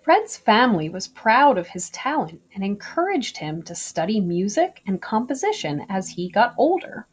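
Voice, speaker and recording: A woman reading a children's picture book aloud in an expressive storytelling style, with deliberate, emphatic phrasing. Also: medium pitch, slightly rough.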